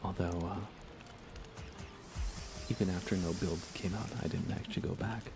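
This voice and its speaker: A man chatting casually in a soft ASMR gaming-stream voice, often dropping toward a whisper. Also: fairly even intonation, moderate pace, over music. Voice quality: creaky, low. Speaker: livestreaming gamer.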